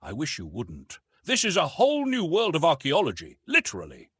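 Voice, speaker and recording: A man voicing an eager, determined young woman archaeologist in a lifted, hopeful tone, a touch husky. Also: on the high side, huge pitch swings, fairly quick, fairly bright tone, dry recording, studio quality, pitched above the performer's own voice, heavily acted.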